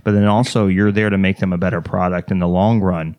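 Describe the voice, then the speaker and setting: A lowish, dryly recorded male voice with a light Texas accent. He hosts a casual interview, rambling through long questions and poking fun at himself as he goes. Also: fairly even intonation, fairly quick, a little mellow, no echo. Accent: American, slight Texas. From Texas, United States. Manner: rambling, self-deprecating, jokey. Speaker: podcast host interviewing a guest.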